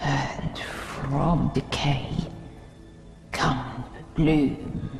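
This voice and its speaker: A woman playing an ancient, mournful female forest spirit in a video game, with a breathy, whispery voice and cryptic, archaic phrasing. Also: extremely low pitch, steady tone, drawn-out words, a little mellow, exaggerated voice. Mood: mournful, solemn. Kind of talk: theatrical video game acting. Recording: voice under cinematic music.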